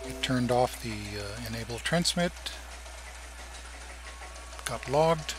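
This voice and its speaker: A man giving casual, terse, halting commentary as an amateur radio operator demonstrating on screen. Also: mid-range voice, animated. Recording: clear background noise.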